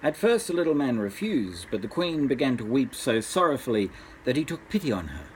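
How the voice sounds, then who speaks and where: A man's voice: mid-range voice, sing-song, brisk, slight background noise, low-quality recording. An older man, a grandfather, reads a bedtime story aloud expressively, addressing small children.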